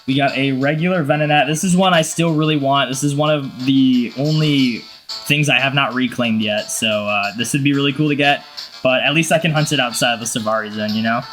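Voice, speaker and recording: A man with a cold giving casual, excitable, slangy gaming commentary. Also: mid-range voice, a little fast, soft background music. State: has a cold. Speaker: gaming video host.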